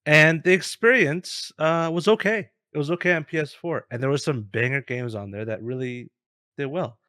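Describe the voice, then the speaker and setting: A man chatting casually about games as a co-host, in a measured, somewhat tentative way. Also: fairly high pitch, bright, forceful tone, dry recording, clean studio sound. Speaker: co-host of a gaming news show.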